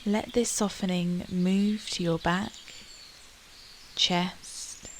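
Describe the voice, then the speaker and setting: Slow, low-pitched woman guiding meditation. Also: a little hiss or hum. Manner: calm, soothing, unhurried, with long pauses. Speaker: guided meditation host. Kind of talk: guided meditation narration. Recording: steady outdoor nature ambience with birdsong. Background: birdsong and nature ambience.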